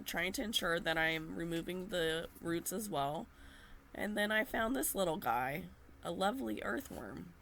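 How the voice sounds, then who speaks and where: A woman narrating her gardening video in a gentle, unhurried way with frequent fillers, her voice slightly nasal from a cold. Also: mid-range voice, creaky, slight background noise. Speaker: gardening vlogger.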